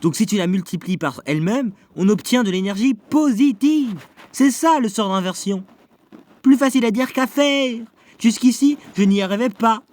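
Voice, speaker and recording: A man's voice: high-pitched, moderate pace, darkish tone, close to an everyday voice. A man playing a cocky, euphoric young sorcerer in an anime fan dub, with a theatrical, self-satisfied delivery. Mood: manic, taunting.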